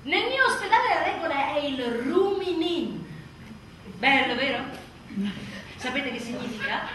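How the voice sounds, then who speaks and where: A woman's voice: sits high, very animated, measured pace, bright, forceful tone, heavily acted. An actress playing an exhausted, sardonic new mother in a theatrical radio monologue, emphatic and full of sarcasm. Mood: weary, bitter. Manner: emphatic, sarcastic, mimicking others mockingly. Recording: echoey room, distant mic, faint noise.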